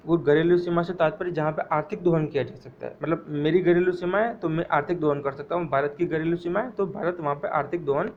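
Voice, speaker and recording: A man with a fairly high voice delivers an exam-prep economics lecture. He goes over definitions slowly, repeating key phrases so his students can memorize them. The sound is thin and phone-like, with a faint hiss underneath. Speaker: economics teacher.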